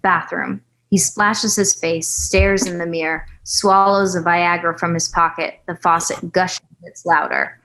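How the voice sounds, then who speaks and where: Lowish-voiced woman narrating stage directions. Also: fairly even intonation, measured pace, few pauses, fairly bright tone, poor audio. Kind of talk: scripted narration of a screenplay table read.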